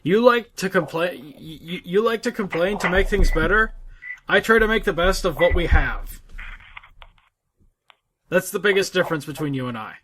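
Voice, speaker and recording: A man's voice: high voice, expressive, moderate pace, long pauses, sharp tone, a little hiss or hum, poor audio. A man co-hosting a gaming podcast, chatting casually and informally, often repeating himself as he makes his point. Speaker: co-host of a gaming discussion podcast. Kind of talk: casual chat.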